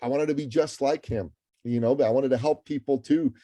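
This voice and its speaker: Emphatic, dark-voiced man from Iran. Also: mid-range voice, brisk, no room sound. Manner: energetic, emphatic, repeats words as he speaks. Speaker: guest, author and entrepreneur. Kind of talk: interview conversation.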